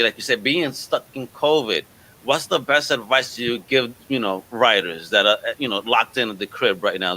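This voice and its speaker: Male podcast host, forceful bright tone, effusive, casual slang, chatting with guests. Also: medium pitch, poor audio. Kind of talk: casual video podcast interview.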